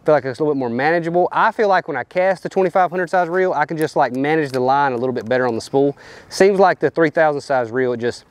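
A man's voice: fairly high pitch, quick pace, no echo. Laid-back man giving fishing tips outdoors. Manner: loose, rambling, lots of fillers like "you know" and "kinda". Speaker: professional bass angler. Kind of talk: casual fishing tips to camera. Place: outdoors on a riverbank and boat deck. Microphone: lapel mic.

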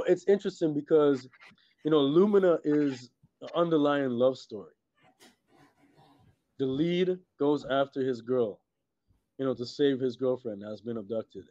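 A middle-aged man from Trinidad and Tobago chatting casually as an interview guest, halting and repeating words, with many "you know"s. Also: mid-range voice, dark tone, no echo. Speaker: guest, filmmaker and musician. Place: indoors on a video call. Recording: muffled, dull video-call audio.

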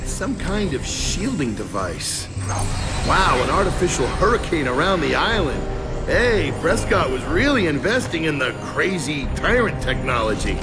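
Game role: smug tech-expert soldier, slightly high voice, animated sarcasm, music underneath. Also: male voice, moderate pace. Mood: dry, smug. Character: sarcastic know-it-all male soldier engineer. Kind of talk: video game cutscene acting. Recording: music behind.

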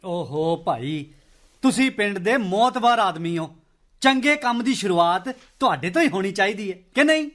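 A man's voice: sits high, animated, moderate pace, a bit bright. A man playing a reasonable but exasperated Punjabi villager who mediates in a radio play. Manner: conversational, mediating.

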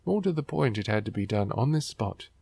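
A man's voice: on the low side, lively intonation, brisk, vocal fry, mellow, breathy edge, dry recording. A man hosting a book riffing show, reading aloud and commenting in a sarcastic, wry, exasperated way.

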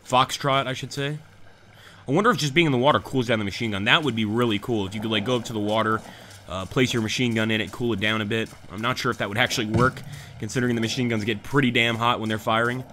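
Bright-voiced male streamer chatting over gameplay and answering chat. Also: mid-range voice, steady tone, quick pace, a little hiss or hum. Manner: chatty, reads out and answers live chat comments. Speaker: gaming channel host. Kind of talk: casual gameplay commentary.

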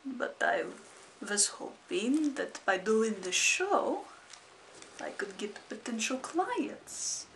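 A woman playing a solemn female vampire lawyer in improvised comedy, speaking in a slow, drawn-out, theatrical way. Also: on the high side, very animated, a bit bright, slight background noise, full character voice, rough recording.

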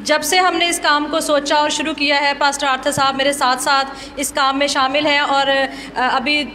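A woman's voice: high voice, little pitch movement, moderate pace, bright, forceful tone. A woman with a Pakistani accent giving an informal speech of thanks to a congregation. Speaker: church sewing project organiser. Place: church gathering. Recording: noisy live event recording.

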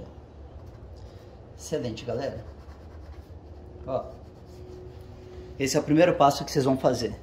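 A man's voice: medium pitch, darkish tone. Host, male, casual hands-on tutorial, talks through each step while working, recorded in an echoey garage with faint background noise. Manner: explains step by step while working with his hands, often checking with "tá?". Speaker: channel host. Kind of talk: casual how-to demonstration. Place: home garage with the door open.

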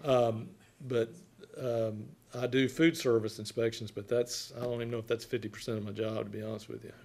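Gravelly older man addressing a meeting. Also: medium pitch, flat delivery, a little fast. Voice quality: loud voice. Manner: folksy, plain-spoken. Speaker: city health inspector. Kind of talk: informal presentation to a committee. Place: wood-panelled council chamber.

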